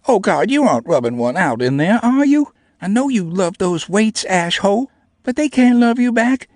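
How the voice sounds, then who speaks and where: A man's voice: sits high, big pitch swings, normal speed, darkish tone, no room sound, studio quality, pitched above the performer's own voice. A man voicing a snarky young woman scientist who crudely teases over an intercom. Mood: mocking. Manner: mocking, crude teasing.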